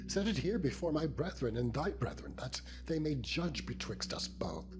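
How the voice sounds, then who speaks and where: A man's voice: medium pitch, big pitch swings, moderate pace, background noise, pitched above the performer's own voice, natural voice. A man voicing an aggrieved, earnest biblical patriarch in solemn, declamatory dramatized scripture. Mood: indignant. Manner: declamatory, archaic solemn phrasing. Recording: music bed behind the voice.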